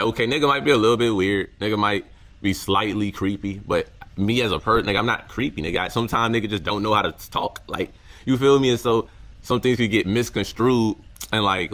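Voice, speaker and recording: A young man with a deep voice, talking casually to camera in a reflective vlog, full of slang and repeated words. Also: fairly bright tone. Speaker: content creator.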